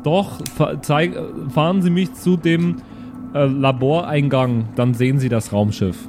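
A man's voice: fairly high pitch, measured pace, music in the background. A man playing in an improvised tabletop role-playing audio play, bantering casually in and out of character.

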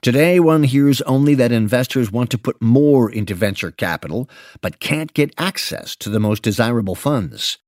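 Male narrator for written business commentary; articulate and emphatic, expressive intonation, very dry close recording. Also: medium pitch, moderate pace, no echo. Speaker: narrator reading an investment memo. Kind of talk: scripted narration, audiobook-like reading.

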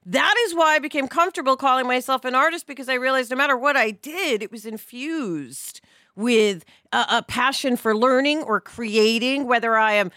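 A woman talking casually as a podcast host, animated and conversational, often checking that others agree. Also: sits high, normal speed, no echo, studio quality.

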